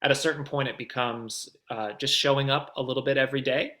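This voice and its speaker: A man's voice: mid-range voice, fairly even intonation, fast, pushed and bright. A man speaking as a guest coach, giving casual, reassuring advice in an online Q&A.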